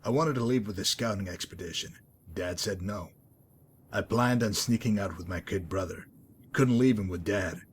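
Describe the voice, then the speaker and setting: A man playing a calm, menacing hitman with a bitter past in a video game, speaking in a measured, deliberate, coolly condescending way. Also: lowish voice, a little hiss or hum, natural voice. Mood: grim reminiscing. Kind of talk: dramatic video game mod monologue and dialogue.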